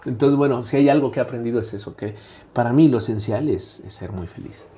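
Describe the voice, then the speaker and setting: Mellow-voiced Mexican man reflecting aloud. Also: medium pitch, fast, a little hiss or hum. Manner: reflective, frequent "eh" fillers and tag "¿no?". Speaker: guest academic. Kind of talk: conversational interview.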